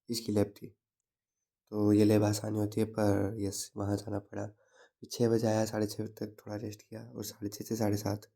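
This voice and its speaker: A young man with a slightly sore throat, talking through his day as a student in an unhurried, reflective vlog. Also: on the low side, vocal fry, warm tone. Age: young adult. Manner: unhurried, reflective, mixes English words into the talk. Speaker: engineering student vlogger. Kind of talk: casual daily vlog recap.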